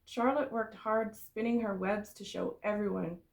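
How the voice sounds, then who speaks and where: A woman reads a children's story aloud with expressive phrasing. Her mid-pitched voice has a noticeable creak, and she tells it clearly for very young listeners, like a teacher in front of a kindergarten class. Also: moderate pace.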